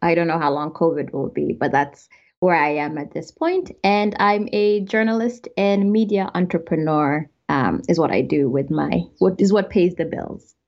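A Kenyan woman with an accent touched by American speech, a journalist who tells stories in a relaxed way as a podcast guest. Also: lowish voice, normal speed, muffled recording. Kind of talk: casual interview chat. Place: home in Kenya.

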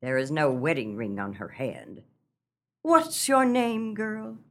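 A woman's voice: low voice, very animated, takes it slowly, a bit bright, full character voice. An actress playing a kindly, fretful old farmer's wife in a comic radio melodrama, earnest and motherly in a theatrical way. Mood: motherly concern.

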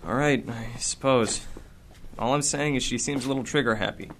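A man's voice: medium pitch, normal speed, vocal fry, a bit bright, slight background noise. A man playing a nervous, sceptical teenage student in a radio drama, speaking hesitantly and slangily. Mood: wary.

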